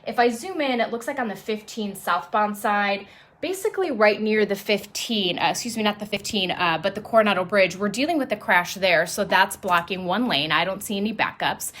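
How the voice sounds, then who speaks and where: A woman presenting traffic and news live from her apartment dining room on a phone, chatty off air and brisk on air, a little sniffly. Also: medium pitch, a bit bright. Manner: chatty and self-deprecating off air, brisk and upbeat on air. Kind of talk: casual live stream chat and on-air traffic report.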